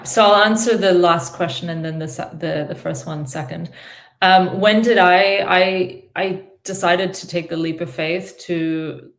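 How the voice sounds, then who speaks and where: A woman in her forties from Singapore who sounds American, with a fairly low voice. She talks as a life coach in a chatty, unpolished way, full of "um" and "uh" and restarts. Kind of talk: conversational interview answers.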